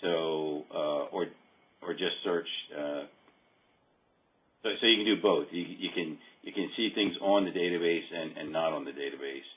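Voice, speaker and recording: A man giving a casual software walkthrough over a conference call, thinking aloud in a halting way. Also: extremely low pitch. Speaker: software demonstrator. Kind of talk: casual screen-share walkthrough. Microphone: phone or conference call. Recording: muffled narrow phone-like call.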